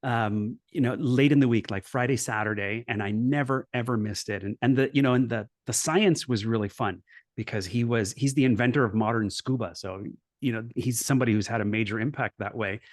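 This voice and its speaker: A man's voice: medium pitch, brisk, no room sound, clean studio sound. A middle-aged man from Los Angeles, a guest on an interview show, telling his life story in an earnest, reflective way with many restarts. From Los Angeles, USA. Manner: earnest, reflective, with frequent restarts and "you know". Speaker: guest, coach and former professor.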